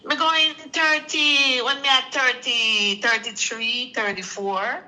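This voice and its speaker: A man's voice: extremely high pitch, fairly even intonation, slow, pushed and bright, poor audio. A man in his late sixties with a Jamaican accent, calling in and chatting easily in Patois-flavoured speech. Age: 60s. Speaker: caller. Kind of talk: casual call-in chat.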